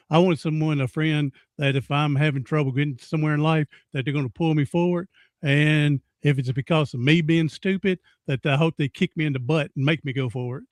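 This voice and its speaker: A man's voice: on the high side, dry recording. Rambling folksy older man host, dry sound. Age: 60s. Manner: folksy, rambling, repeats himself. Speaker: podcast host. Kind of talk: casual interview chat.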